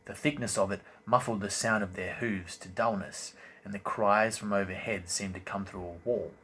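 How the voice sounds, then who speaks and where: Low-voiced man narrating a fantasy novel. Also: steady tone, moderate pace, sharp tone. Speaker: audiobook reader, host. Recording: amateur recording with faint background noise.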